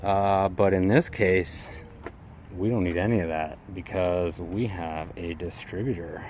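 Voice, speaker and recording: A man's voice: low-pitched, measured pace, a little mellow, a little hiss or hum. A man giving a casual, unscripted walkaround of his vehicle in a folksy, colloquial way with hobbyist slang. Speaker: vlogger showing his vehicle build.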